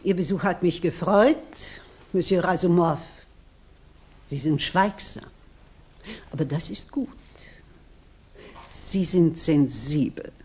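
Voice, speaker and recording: A woman acting a grand, affected salon lady in a 1960s German radio play, imperious and halting in her theatrical delivery. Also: lowish voice, a little mellow, breathy edge. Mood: haughty, musing.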